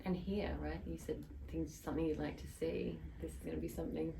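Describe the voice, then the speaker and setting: A woman's voice: low-pitched, fairly even intonation, quick pace, noisy background. A woman chatting casually and thoughtfully in a behind-the-scenes conversation.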